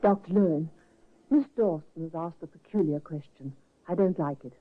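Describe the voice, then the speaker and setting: An actress playing a devoted, worried nurse in a 1950s radio mystery, gentle and earnest. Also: female voice, fairly low pitch, moderate pace, over music, close to an everyday voice. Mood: troubled, earnest. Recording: muffled, phone-like old radio recording.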